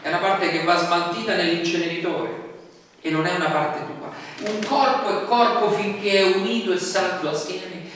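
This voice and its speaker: Male pastor, 40s, chatty sermon delivery, slightly high voice, heard from afar in a very reverberant hall. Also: steady tone, normal speed, a bit bright. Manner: conversational preaching with rhetorical questions and frequent fillers. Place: small church hall, indoors. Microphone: distant camera mic. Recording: echoey hall from far off with faint noise.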